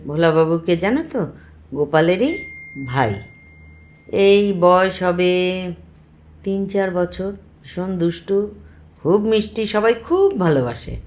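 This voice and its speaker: This is a woman with a slightly low voice, telling a story to children at a very unhurried pace. She is expressive and speaks straight to her listener, as an oral storyteller does. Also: faint music behind. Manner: expressive, acts out dialogue, drops to whispers.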